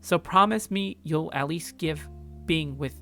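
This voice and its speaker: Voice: medium pitch, unhurried, pushed and bright, soft background music, pitched above the performer's own voice. A performer reading a visual novel and voicing an upbeat young woman who earnestly coaxes her date. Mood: insistent, pleading. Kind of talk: visual novel character read-aloud.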